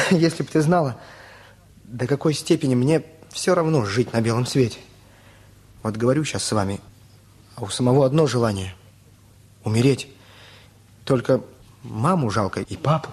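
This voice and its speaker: An actor playing a lovesick, wounded teenage boy, earnest and halting, in a radio play. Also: mid-range voice, breathy edge, close to an everyday voice. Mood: anguished, confessing.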